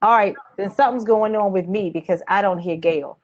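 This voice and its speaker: A woman in her late forties hosting a live advice webcast from a home office, answering questions in a chatty, animated, conversational way. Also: mid-range voice, brisk. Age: late 40s. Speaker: host, credit coach. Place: small home office, indoors. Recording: dull lo-fi webcam audio.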